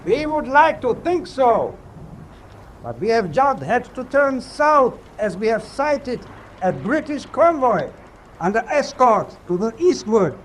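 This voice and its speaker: German tanker officer calling out across water, very high raised voice, slow, radio drama. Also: male voice, sing-song, a little hiss or hum, heavily acted, rough recording. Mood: composed, hailing. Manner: loud, deliberate hailing. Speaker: actor.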